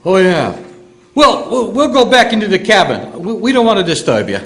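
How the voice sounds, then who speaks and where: A man's voice: sits high, very animated, moderate pace, creaky, full character voice. An actor playing a blustering, easily flustered family man who stammers and turns bashful with women, in old-time radio comedy style. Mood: flustered apology. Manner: stammers nervously.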